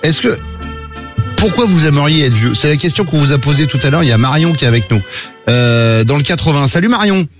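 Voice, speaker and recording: A male morning radio host talks fast and rambles, joking with his team and callers. His pitch jumps around animatedly. Music plays clearly underneath. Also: expressive. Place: radio studio.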